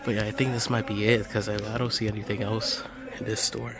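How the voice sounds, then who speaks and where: A man's voice: fairly low pitch, little pitch movement, fast talker. A man giving casual walkthrough commentary as a vlogger on a handheld recording inside a large warehouse store. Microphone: handheld phone or camera. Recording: clear store ambient noise.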